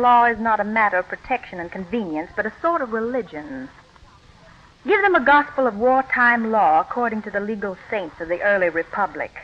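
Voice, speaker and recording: A woman's voice: mid-range voice, natural voice. Through a dull vintage radio recording comes a poised nineteenth-century lady , played by an actress. She speaks in formal, carefully composed sentences, a shrewd legal mind in a period radio play. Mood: earnest, determined. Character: poised, shrewd 19th-century Southern lady lawyer and secret adviser. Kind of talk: 1940s radio drama acting.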